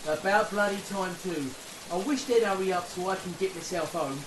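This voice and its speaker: A man's voice: high-pitched, moderate pace, close to an everyday voice. An actor playing a restless young male soldier in a wartime radio play, impatient to get home. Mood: impatient, sardonic. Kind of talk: period radio drama acting. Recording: roomy, noisy with rain-like sizzle.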